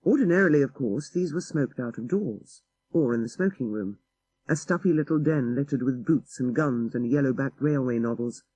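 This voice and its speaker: A man reading a literary story as an audiobook narrator, in a measured and expressive manner. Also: mid-range voice, rough recording.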